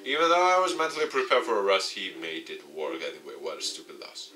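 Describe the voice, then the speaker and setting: A man reading a visual novel aloud as a let's player, with expressive dramatic narration. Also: sits low, fast talker. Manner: expressive, acts out dialogue.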